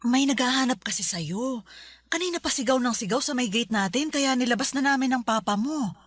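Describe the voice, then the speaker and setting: An actress with a Filipino accent playing a caring middle-aged mother who gently urges, in a Tagalog radio drama. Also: sits high, lively intonation, a little fast, no room sound. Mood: urging.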